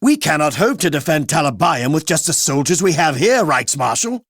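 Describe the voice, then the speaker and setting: An actor playing an earnest, resolute young army commander in a fantasy game, speaking in a solemn, declamatory way. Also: male voice, fairly high pitch, very animated, moderate pace, a bit bright, dry recording, studio quality, exaggerated voice. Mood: grave. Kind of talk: heroic fantasy game cutscene acting.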